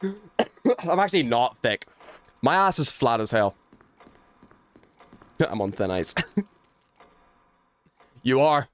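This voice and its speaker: Animated man chatting on a game livestream. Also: medium pitch, slow pace, long pauses. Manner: playful, teasing, swears casually. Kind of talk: casual chat while playing a horror game, reading and answering chat.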